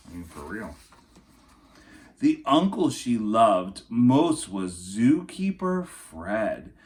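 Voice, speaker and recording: Male storybook reader, deliberate and theatrical, highly varied intonation, unhurried delivery. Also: very animated, a little mellow. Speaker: teacher reading a storybook aloud. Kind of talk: expressive children's storybook read-aloud with casual asides.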